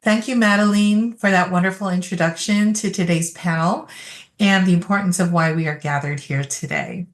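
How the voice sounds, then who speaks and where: A woman's voice: lowish voice, moderate pace. A woman moderating a virtual panel, graciously thanking and introducing each speaker.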